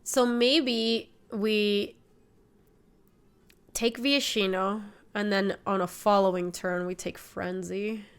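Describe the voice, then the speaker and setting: A woman's voice: medium pitch, fairly even intonation, takes it slowly, clean tone. A woman in her twenties with a slight cold, casually explaining a card game deck and chatting while she plays. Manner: chatty, explains deck choices, reads chat aloud. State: sniffly from a cold. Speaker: streamer. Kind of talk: casual gameplay commentary. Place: home streaming room.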